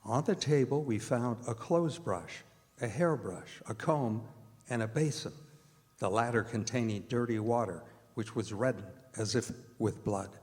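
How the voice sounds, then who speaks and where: A man's voice: mid-range voice, a little slow, a little mellow, lightly acted. A man playing a sober narrator and vampire hunter in an old-time radio drama, telling events with portentous, dramatic phrasing. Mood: grim narration. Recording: live theater stage, long hall reverb.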